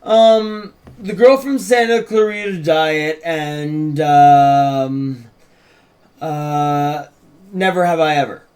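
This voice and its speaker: A man with a fairly high voice co-hosts a table read. He moves between reading out stage directions and casual chat with his writing partner. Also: fairly even intonation, drawn-out words, a little mellow. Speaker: co-host and script co-writer. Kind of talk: script narration and casual chat.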